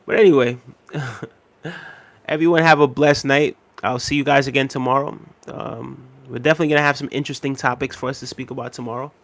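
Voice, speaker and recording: Gravelly man chatting on a livestream. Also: medium pitch, a little fast, no room sound. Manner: relaxed, repeats himself, many fillers. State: a little unwell and tired. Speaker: livestream host. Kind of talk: casual livestream chat reading viewer comments.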